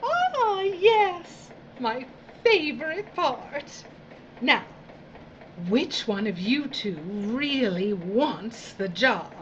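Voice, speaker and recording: A woman's voice: sits high, sing-song, very slow, a bit bright, dull audio, a little hiss or hum, exaggerated voice. An actress playing a domineering, sadistic female boss in an audio drama, with a condescending, mock-sweet, imperious drawl. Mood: toying, condescending. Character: domineering, theatrical, sadistic female boss of dystopian work crews. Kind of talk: theatrical audio drama acting.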